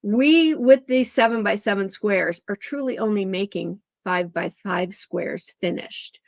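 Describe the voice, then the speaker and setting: A woman's voice: mid-range voice, measured pace, vocal fry, dry recording. A middle-aged woman with a slightly scratchy voice, chatting her way through a quilting lesson and correcting herself as she goes. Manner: chatty, digressive, self-correcting. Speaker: quilting instructor. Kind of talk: chatty sewing tutorial. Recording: dull lo-fi dry sound.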